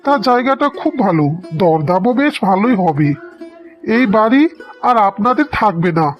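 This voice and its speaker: A man's voice: sits high, very animated, soft background music. Man acting smug cartoon debt collector, muffled sound. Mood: smug menace. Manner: mock-polite, slow, threatening. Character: smug, menacing male debt-collecting agent.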